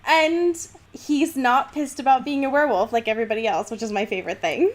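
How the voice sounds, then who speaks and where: High-voiced woman, animated podcast co-host. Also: fairly quick. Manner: animated, sweary, dryly exaggerating. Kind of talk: casual banter, book discussion.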